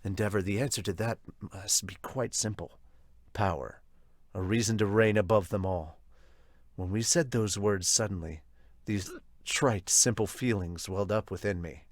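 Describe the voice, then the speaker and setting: A man's voice: lowish voice, moderate pace, no room sound, studio quality, voice pushed down, natural voice. A man voicing a stern, remorseful middle-aged hero and father in a solemn, subdued manga fan dub style. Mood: grim, reflective.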